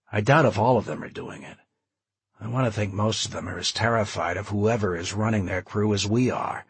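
A man's voice: lowish voice, slightly rough, dry recording. A man voicing a grim, cynical male leader in an audiobook, speaking with cold, bitter force. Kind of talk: dramatized audiobook dialogue.

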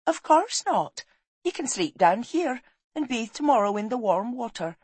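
Woman doing a high, feisty clanswoman wife. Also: sing-song, normal speed, a little mellow, slightly rough, dry recording. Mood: scolding. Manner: feisty, scolding. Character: spirited, sharp-tongued clanswoman wife.

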